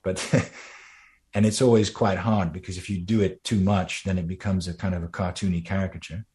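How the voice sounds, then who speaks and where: A man's voice: low-pitched, fairly even intonation, moderate pace, a little mellow. A man from the Netherlands with a slight Dutch accent, a voice actor answering interview questions in a rambling way, with many fillers and restarts.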